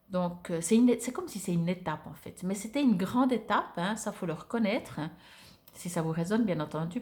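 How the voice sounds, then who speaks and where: A woman with a medium-pitched voice speaks as an oracle card reader addressing her viewers. Her delivery is informal and conversational, with hesitations as she feels her way toward the right words. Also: dark tone. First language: French.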